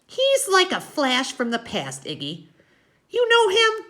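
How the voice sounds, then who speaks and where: Woman acting a high, swooping biker gal. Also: takes it slowly, exaggerated voice. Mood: needling. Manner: slangy, needling, excitable. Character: squinting, chain-smoking biker's woman, pushy but cowed.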